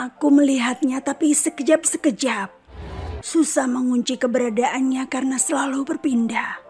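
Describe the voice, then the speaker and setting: Woman playing a solemn, higher-pitched psychic. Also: darkish tone. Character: European-descended female psychic, solemn and focused. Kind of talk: animated horror story voice acting.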